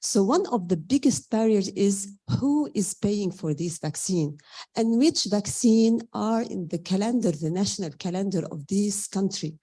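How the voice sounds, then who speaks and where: A woman's voice: mid-range voice, mellow. A woman with a Lebanese accent giving impromptu roundtable remarks as a pharmacist, speaking emphatically and posing questions she answers herself. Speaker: community pharmacist, roundtable participant.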